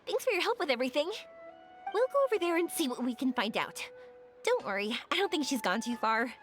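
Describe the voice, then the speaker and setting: A woman playing a friendly, energetic young woman in a video game, upbeat and breezy. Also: high-pitched, brisk. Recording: game music behind the voice.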